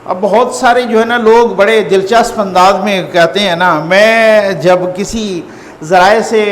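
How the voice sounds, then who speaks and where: Pakistani guy doing chatty news commentary, pretty high voice, reverby room w a bit of noise. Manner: conversational, repeats phrases for emphasis. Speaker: host and political news commentator. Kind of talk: informal news commentary to viewers. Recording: echoey reverberant room, faint background noise.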